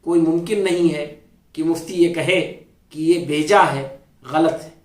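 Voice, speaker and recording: A man giving a formal religious lecture as an Islamic scholar, speaking in a deliberate, explanatory, oratorical way with pauses and repeated phrasing. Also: on the high side, fairly even intonation. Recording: roomy echo, distant microphone.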